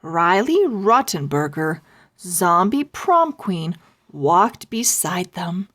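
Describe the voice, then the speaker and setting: Very slow, expressive woman storyteller. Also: lowish voice. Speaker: children's book reader, host. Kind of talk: expressive storybook read-aloud narration.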